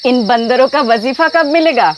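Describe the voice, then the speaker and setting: A woman's voice: high-pitched, moderate pace, dull audio, close to an everyday voice. Nagging village mother, acted by a woman, noisy surroundings. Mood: impatient. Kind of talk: TV crime drama acting.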